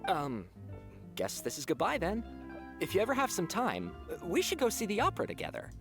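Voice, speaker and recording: A woman's voice: on the low side, wildly swooping pitch, brisk, music in the background. A woman voicing a chatty young female spy in a game.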